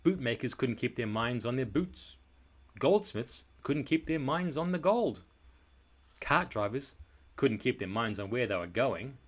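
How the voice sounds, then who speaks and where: A male storybook reader with a mid-pitched voice. He narrates for children in an expressive, deliberate and dramatic way.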